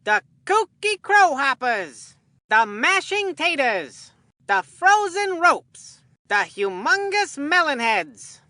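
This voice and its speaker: A woman's voice: high-pitched, very animated, takes it slowly, bright, forceful tone, no echo, clean studio sound, exaggerated voice. A woman voicing a goofy, food-obsessed kid baseball commentator in a video game, with a folksy, rambling, earnest delivery. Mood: announcing. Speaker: sports commentator. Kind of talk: cartoonish scripted game commentary.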